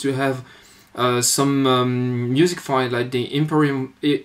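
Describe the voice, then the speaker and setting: A man giving an informal demonstration of his electronics project, speaking in a hesitant, halting way. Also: medium pitch. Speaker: electronics hobbyist presenting own board. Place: indoors at a workbench. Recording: noisy webcam-style audio with clicks.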